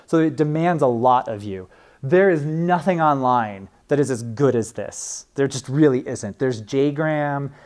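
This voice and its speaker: A man's voice: medium pitch, darkish tone. A young man, a librarian, teaching a class in a casual, chatty way with frequent fillers and restarts. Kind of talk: informal classroom talk.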